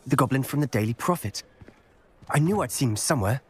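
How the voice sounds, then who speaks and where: Man playing excited schoolboy wizard, fast talking, alert, background noise, video game. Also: mid-range voice, close to an everyday voice. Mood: urgent.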